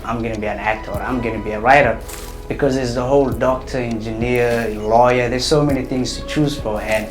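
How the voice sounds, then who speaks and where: A man's voice: medium pitch, steady tone, brisk. A young man, talking hesitantly with many fillers in an interview. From Somalia. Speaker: interviewee, writer and actor. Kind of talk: casual interview. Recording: roomy with loud music behind.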